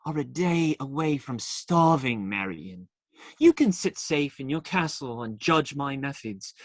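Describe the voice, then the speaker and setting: A woman acts a young male outlaw hero for a radio drama, low in pitch and swinging widely in tone. He is idealistic and defiant, lovestruck and earnest, and hesitates when challenged. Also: dry recording. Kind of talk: radio play acting.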